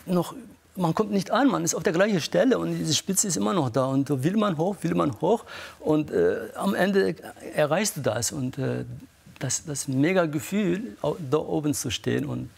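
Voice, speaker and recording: A man, a guest athlete, excitedly recounting a hard desert run, halting often with fillers and repeated words. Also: on the high side, vocal fry, slightly rough. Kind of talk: emotional recounting in a studio interview.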